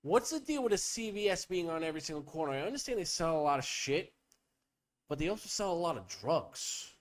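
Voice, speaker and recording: A young man rambles through a loose comedy podcast chat, swearing freely and riffing on whatever comes to mind. His pitch swings all over the place, jumping high when he gets worked up and dropping low for mock-serious asides. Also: big pitch swings, fairly quick. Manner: profane, riffing, playful bits with voices. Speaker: podcast host.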